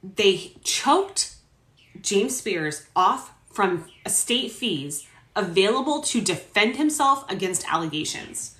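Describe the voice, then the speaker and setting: Animated sardonic woman in echoey room. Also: mid-range voice, slow. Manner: animated, sardonic, swearing asides. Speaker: host reading a court transcript with commentary. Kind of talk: dramatic reading with casual asides. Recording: roomy echo.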